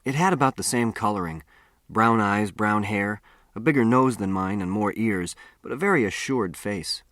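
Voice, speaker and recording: A man reading a first-person story as an expressive audiobook narrator. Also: on the low side, normal speed, no room sound, clean studio sound.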